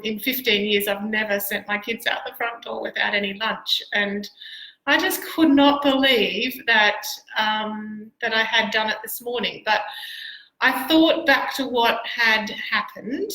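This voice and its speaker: Casting: middle-aged Australian woman, very bright voice, casual livestream teacher chat, recorded in an echoey room. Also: on the high side. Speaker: piano teacher. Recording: roomy, echoey livestream sound.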